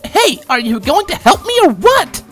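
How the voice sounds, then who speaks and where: A woman's voice: high-pitched, sing-song, normal speed, a bit bright, soft background music. A woman voicing a frightened, bossy young female unicorn in a dramatized story reading. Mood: demanding. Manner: exclamatory, demanding.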